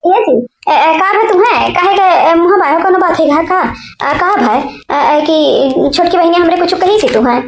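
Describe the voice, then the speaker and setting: Self-sacrificing cartoon housewife , woman actor, plaintive high voice, eastern Uttar Pradesh accent, repetitive halting delivery, echoey. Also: lively intonation, creaky, a little hiss or hum, exaggerated voice. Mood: puzzled, worried. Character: wronged, self-sacrificing elder wife, mother of three daughters. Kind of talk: village family comedy cartoon dub. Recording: echoey room sound.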